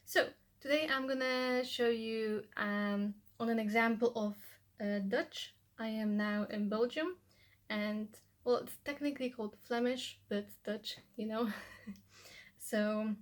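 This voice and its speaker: A young woman, a native Polish speaker, talks casually through her study tips in a loose, rambling way. She fills her talk with "like" and "you know.". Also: a little high. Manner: chatty, loose, restarts often with "like" and "you know". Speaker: language-learning vlogger. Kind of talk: casual how-to vlog.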